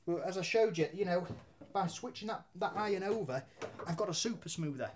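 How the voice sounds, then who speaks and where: A down-to-earth man in his thirties, his voice sitting a little high for a man. He talks through a woodworking demonstration in a brick workshop, loose and chatty, dropping mild swears and local slang as he works at the bench. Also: animated, brisk, slight background noise. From England. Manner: talks while handling and planing wood at a bench. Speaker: woodworking teacher. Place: indoor workshop with brick walls.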